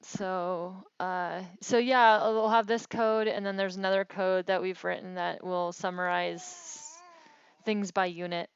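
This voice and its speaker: Casting: woman researcher, smooth clear voice, flat intonation, informal technical explainer on a slightly lo-fi phone call. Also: on the low side, unhurried, no room sound. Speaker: researcher explaining mapping metrics.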